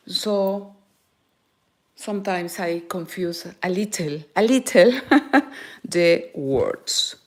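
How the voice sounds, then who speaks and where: Slow, halting woman with a Peruvian Spanish accent. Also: lowish voice. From Peru. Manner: halting, searching for words, frequent "eh" fillers. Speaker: crochet teacher. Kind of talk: live crochet tutorial.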